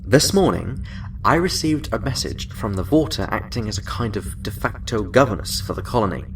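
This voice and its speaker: An actor playing a genial senior admiral in a science fiction audio drama, measured and formal, sounding a little away from the mic. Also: male voice, lowish voice, animated, distant mic, noisy background. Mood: formal, briefing. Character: genial, senior male admiral, chatty then businesslike.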